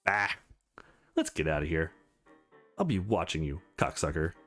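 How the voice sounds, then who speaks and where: A man's voice: extremely low pitch, very animated, normal speed, fairly bright tone, faint music behind, voice pushed down, heavily acted. Man putting on sneering young bully. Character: sneering, foul-mouthed young male bully. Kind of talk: visual novel read-aloud.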